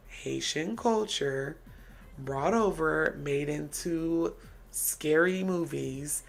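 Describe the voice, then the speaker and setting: A woman's voice: deep voice, vocal fry, husky. An American woman co-hosting a livestream, blunt and conversational as she introduces video clips. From United States. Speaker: co-host. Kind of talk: livestream commentary.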